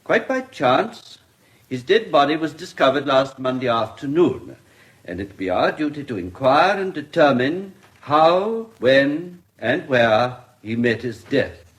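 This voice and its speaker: A man playing a courteous, formal English coroner presiding over an inquest in a radio drama, with measured courtroom diction. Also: mid-range voice, sing-song. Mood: formal, solemn.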